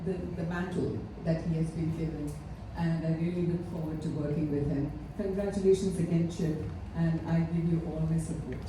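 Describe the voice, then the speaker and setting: A woman from India giving an earnest, measured tribute speech, pausing often with fillers. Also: low-pitched, fairly even intonation. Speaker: ceremony speaker. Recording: echoey hall, mic far off, faint noise.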